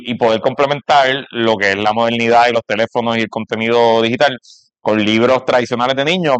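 A man's voice: medium pitch, fairly even intonation, a little fast, clear, resonant tone, no echo, highs cut off. A man with a Puerto Rican accent chatting casually and telling anecdotes in conversation. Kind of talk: casual conversation about parenting.

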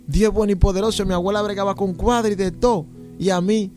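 A man's voice: high-pitched, steady tone, fast talker, darkish tone, soft background music. A Dominican man preaching an informal, testimonial sermon, fervent and exclamatory, peppered with refrains of praise. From San Juan de la Maguana, Dominican Republic.

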